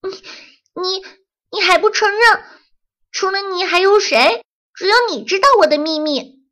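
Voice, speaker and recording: A woman voicing a small, hurt boy bug in a children's audio story, high and stammering when upset. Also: huge pitch swings, slow pace, bright, forceful tone, roomy sound, long reverb tail, exaggerated voice. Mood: angry.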